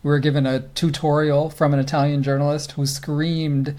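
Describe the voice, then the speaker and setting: Higher-voiced man in casual podcast chat. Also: flat delivery, normal speed, a little mellow. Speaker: podcast co-host. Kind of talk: casual sports talk, conversational commentary.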